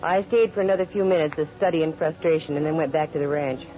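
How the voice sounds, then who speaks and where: A woman's voice: low voice, fast talker, faint music behind, natural voice. An American woman playing a witty, assertive private detective with dry humor and a self-assured manner, in 1940s radio drama style. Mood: wry narration. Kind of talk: 1940s radio drama acting and first-person narration. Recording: dull lo-fi 1940s radio recording.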